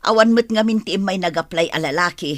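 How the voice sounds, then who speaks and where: A woman's voice: fairly low pitch, fairly quick, no room sound, lightly acted. A woman acts out a senior housekeeper in a radio play. She is strict but respectful to her employers. The voice is recorded very dry. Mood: deferential. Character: older female head housekeeper, strict, respectful to masters. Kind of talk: Ilocano radio comedy-drama acting.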